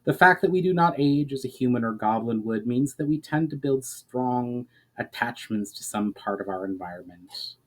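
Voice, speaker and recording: Man reading as a solemn, measured elf man. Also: medium pitch, animated, close to an everyday voice. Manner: measured, formal, explanatory. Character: solemn ancient elf man, knowing and grave. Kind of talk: dramatic fantasy storybook reading.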